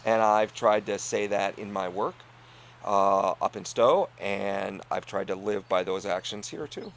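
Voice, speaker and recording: A middle-aged man, co-owner of an inn, talking earnestly in a casual conversation, laughing often and letting sentences trail off quietly. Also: fairly low pitch, a little slow, vocal fry, slight background noise.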